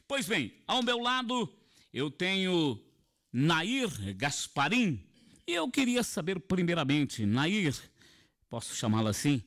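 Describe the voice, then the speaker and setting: A man's voice: medium pitch, a bit bright. A man hosting a live radio interview show from the studio, talking unhurriedly and repeating phrases and numbers.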